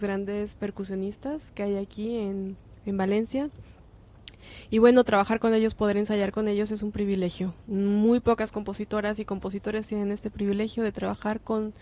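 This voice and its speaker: Earnest Mexican woman composer, mellow tone. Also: mid-range voice. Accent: Mexican Spanish. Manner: earnest, searching for words, many fillers. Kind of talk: interview answers.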